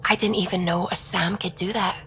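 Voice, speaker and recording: A woman playing a caring, wry ship's doctor in video game dialogue, speaking gently. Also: lowish voice, fairly even intonation, fairly quick, vocal fry, faint music behind. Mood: concerned, wry.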